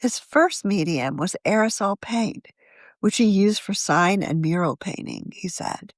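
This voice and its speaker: A woman reading a written article aloud as a magazine editor, in a measured, journalistic manner of scripted narration. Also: mid-range voice, expressive, dry recording, studio quality.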